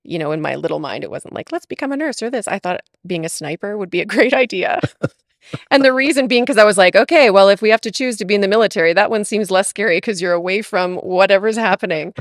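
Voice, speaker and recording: A woman's voice: medium pitch, fast talker, dry recording, clean studio sound. A woman from Alberta, Canada, chatting as a guest in an interview, laughing often and talking with lots of fillers. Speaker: podcast guest. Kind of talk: casual interview chat.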